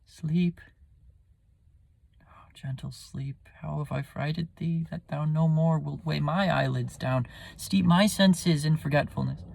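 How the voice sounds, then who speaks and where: A man's voice: on the high side, steady tone, takes it slowly, gravelly, darkish tone, heavily acted. A man acting a weary, sleepless king, pleading theatrically in a Shakespearean soliloquy. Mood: weary longing. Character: weary, sleepless, troubled old-world king.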